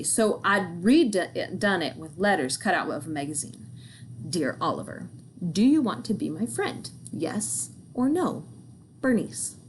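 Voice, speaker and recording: A woman reading a children's novel aloud, telling it in the first person in an expressive, folksy manner. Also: mid-range voice. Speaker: book reader. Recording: faint background noise.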